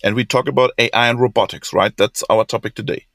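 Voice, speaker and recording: Male co-host, gravelly, brief and upbeat, dry recording. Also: lowish voice, fairly even intonation, fast talker, fairly bright tone, no room sound. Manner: short affirmations, repeats "very interesting". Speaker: podcast co-host. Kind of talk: casual chat.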